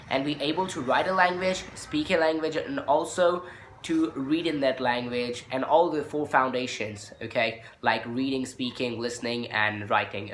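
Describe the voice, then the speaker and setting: A man's voice: mid-range voice, fairly bright tone, a little hiss or hum. A man who speaks English as a second language, giving an informal spoken lesson as a teacher, explaining and repeating his points with frequent check-in tags. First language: non-native English speaker. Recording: echoey, roomy sound.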